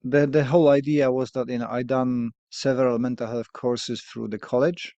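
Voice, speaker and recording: A man from Poland with a Polish accent, speaking English as a second language, hosting a podcast in a candid, hesitant way with fillers and soft laughs. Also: mid-range voice, little pitch movement, normal speed, no echo, dull audio. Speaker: podcast host. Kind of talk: candid casual conversation.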